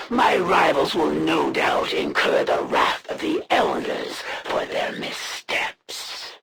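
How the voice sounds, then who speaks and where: A woman playing a proud, menacing female alien assassin in a video game, speaking in a formal, haughty, deliberate way with breathy phrase ends. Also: sits low, a bit bright, full character voice. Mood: cold menace. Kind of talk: scripted video game villain monologue.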